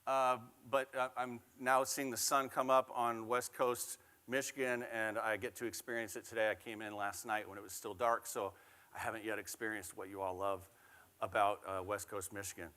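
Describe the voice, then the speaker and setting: Bright-voiced man opening a keynote. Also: lowish voice, normal speed. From Oregon. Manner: energetic and warm-up style, drawing the audience in. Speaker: guest keynote speaker. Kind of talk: keynote talk to a live audience. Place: event hall with a live audience.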